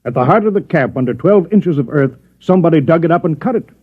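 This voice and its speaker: Blunt army colonel, tinny sound. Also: male voice, fairly high pitch, expressive, fast, husky. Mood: grim, businesslike. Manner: blunt, commanding, briefing-like. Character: gruff, fat, jaded army colonel, middle-aged man. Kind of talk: old-time radio drama acting. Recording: tinny, band-limited old radio recording.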